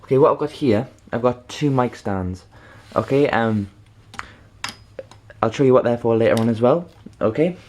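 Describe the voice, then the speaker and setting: A man presenting an informal how-to demonstration, halting and rambling, often checking in with the listener. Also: medium pitch, expressive, darkish tone, slight background noise. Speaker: video tutorial presenter.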